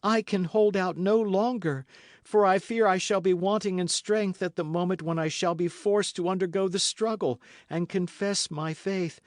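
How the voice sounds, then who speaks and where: A man's voice: high-pitched, steady tone, normal speed, no room sound, clean studio sound, voice pushed up, close to an everyday voice. A man voicing a scheming noblewoman who feigns pious, tearful meekness as she pleads. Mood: tearful pleading. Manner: pleading, sobbing, breathless. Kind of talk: audiobook character voice.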